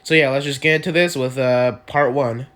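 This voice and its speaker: A man's voice: medium pitch, fairly even intonation, brisk, fairly bright tone. A man with a cold hosting his channel, recapping video-game character lore in a rambling way, full of likes and uhs. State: has a cold. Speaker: channel host.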